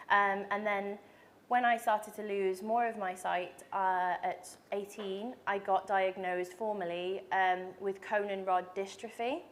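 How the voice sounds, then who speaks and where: A woman in her late twenties, from Dubai, speaks as a conference panellist. Her voice is mid-pitched and she talks about herself openly and plainly. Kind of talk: panel introduction and personal account.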